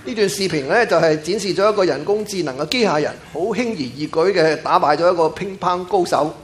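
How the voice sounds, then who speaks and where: Fast-talking Cantonese church interpreter. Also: male voice, sits high. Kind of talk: live sermon interpretation. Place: church sanctuary.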